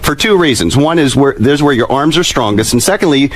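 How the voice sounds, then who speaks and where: A man's voice: mid-range voice, animated, brisk. A middle-aged man speaking as an expert radio guest, explaining things in a blunt, assertive way. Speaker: expert guest, profiler and security professional. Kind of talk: explanatory radio interview talk. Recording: music mixed under the voice.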